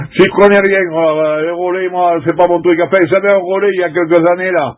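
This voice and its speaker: A middle-aged French man, a CB radio operator, chatting casually in a halting, colloquial way and repeating his phrases. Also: high-pitched, steady tone, highs cut off. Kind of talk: casual CB radio contact chat.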